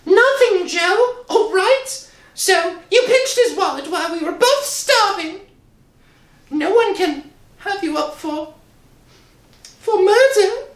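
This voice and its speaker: A woman's voice: very high-pitched, lively intonation, takes it slowly, pauses often, exaggerated voice. An actress playing a worried, loyal woman, earnest and pleading, in a 1960s radio thriller. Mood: reassuring. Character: worried, loyal partner of a desperate man, pleading and soothing. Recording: roomy old radio recording with music.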